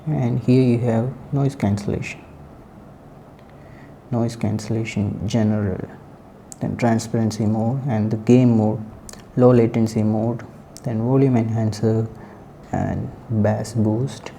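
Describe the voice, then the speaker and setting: A man giving a casual, unscripted product review in halting, short phrases. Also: lowish voice, steady tone, gravelly, warm tone, a little hiss or hum.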